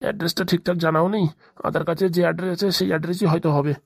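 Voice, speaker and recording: Give this comedy cartoon a worried middle-aged father, acted by a woman. The voice sits low and rattles along very quickly. He frets in homely, colloquial speech, and the sound is very dry. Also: steady tone, vocal fry, slightly rough, dry recording. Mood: concerned. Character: worried middle-aged father and future father-in-law, chatty, caring.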